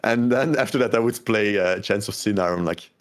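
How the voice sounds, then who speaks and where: A man talking as a guest on a podcast, relaxed and easygoing, laughing easily and filling his speech with "like" and "um". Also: fairly low pitch, brisk. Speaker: podcast guest, game developer. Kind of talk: casual interview chat.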